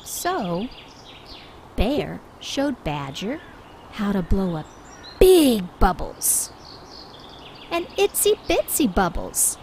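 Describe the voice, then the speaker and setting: Very slow, lively woman reading to children. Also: mid-range voice, slight background noise. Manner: expressive, deliberate, with drawn-out emphasis. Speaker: children's story reader. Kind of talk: read-aloud storybook narration for young children. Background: background music.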